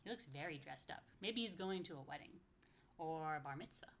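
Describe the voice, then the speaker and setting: A woman's voice: sits low, expressive, a little fast, gravelly, slight background noise. An American woman reading a picture book aloud to young children, with chatty asides and playful questions to her listeners. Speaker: rabbi, story time host for young children.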